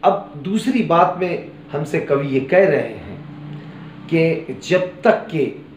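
Slow earnest male teacher, roomy sound. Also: fairly high pitch, a little mellow. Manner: earnest and preachy, repeating key phrases. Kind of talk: classroom lesson explaining a poem. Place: studio with green screen background. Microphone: lapel mic. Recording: roomy studio with faint noise.

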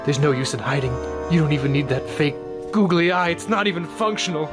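A man's voice: a little high, lively intonation, fairly quick, music in the background, pitched below the performer's own voice, natural voice. A man voicing an earnest, smitten suitor in an absurd improvised puppet comedy. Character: smitten romantic male hand puppet.

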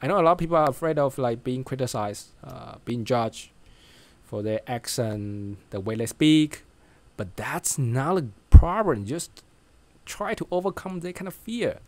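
This is a man in his thirties with a Chinese accent who talks at a middling pitch into a studio mic set close to him. His English is casual and halting, full of fillers and restarts. He sounds like he is practicing as he goes. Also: animated. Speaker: vlogger. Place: small bright room indoors. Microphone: studio mic close in front.

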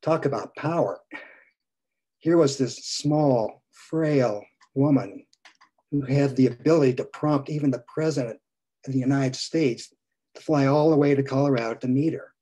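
A priest in his sixties preaching a gentle sermon from a home study over a video call, his phrases trailing off softly. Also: medium pitch, moderate pace, breathy edge, poor audio. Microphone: video call webcam.

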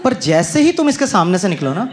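Lively young man doing stand-up. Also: sits high, fairly quick, crowd noise. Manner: animated, acts out street vendors in put-on voices. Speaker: stand-up comedian. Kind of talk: stand-up comedy performance. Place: indoor comedy stage with brick wall and a live audience. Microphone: handheld microphone. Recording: loud audience laughter and noise.

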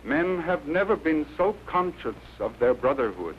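An actor playing a solemn, idealistic American president delivering a formal speech in a deliberate manner. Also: male voice, a little high, sing-song. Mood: solemn, lofty. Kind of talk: formal oratory, read speech. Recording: dull, faintly noisy old film soundtrack.

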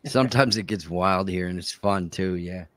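A man's voice: deep voice, moderate pace, a crowd in the background. A middle-aged man from New York chatting casually as co-host on a livestream. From New York, United States. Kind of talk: casual chat.

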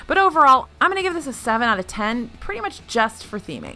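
A woman talks through restaurant picks in a bright, sharp voice. Her pitch swings up and down a lot as she gives her verdicts. Soft music plays underneath. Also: quick pace. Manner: animated, wry and opinionated, jumps between high and low pitch. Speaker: food reviewer. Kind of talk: chatty review narration. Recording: faint music behind.

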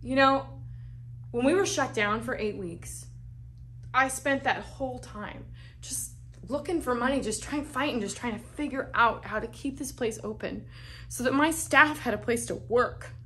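A woman from Las Vegas, a salon owner, telling her own story in a frank, salty, animated way. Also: a little high, moderate pace, slight background noise. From Las Vegas, United States. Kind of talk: candid personal storytelling.

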